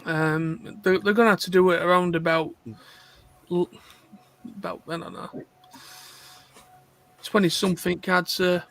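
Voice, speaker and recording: Male chat participant, high voice with plenty of creak, informal. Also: fairly even intonation, unhurried, pauses often, a bit bright, poor audio. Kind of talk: casual chat.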